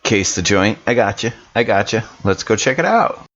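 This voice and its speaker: A man's voice: lowish voice, expressive, normal speed, fairly bright tone, highs cut off. A man playing a laid-back, slangy biker in a tabletop game. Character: laid-back scruffy male biker with a fire axe.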